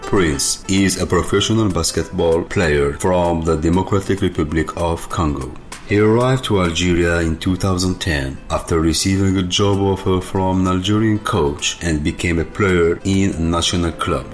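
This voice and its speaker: Male narrator, very low voice, measured scripted read, soft music bed. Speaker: podcast narrator.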